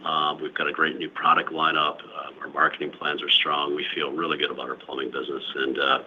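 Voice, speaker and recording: A man speaking as a company executive, answering questions on an earnings call in a measured corporate manner, often repeating words. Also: very deep voice, level tone. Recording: dull lo-fi call audio, faint noise.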